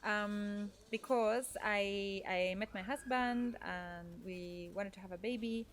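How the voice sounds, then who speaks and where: A woman's voice: medium pitch, takes it slowly, a little hiss or hum. A woman from Norway, a podcast guest telling her life story in a thoughtful, conversational way, with frequent fillers and self-corrections.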